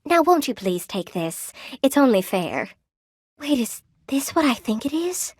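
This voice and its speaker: An actress voicing a lonely, proud spider woman, a cursed weaver in a video game, speaking softly with old-fashioned courtesy and a wry edge. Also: high-pitched, very animated, measured pace, husky, dry recording, studio quality, exaggerated voice. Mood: touched, grateful. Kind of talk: video game character dialogue.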